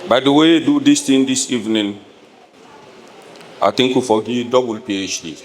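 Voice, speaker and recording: A man with a Sierra Leonean accent giving a formal keynote address in a deliberate, measured way. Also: mid-range voice, slight background noise. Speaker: keynote speaker.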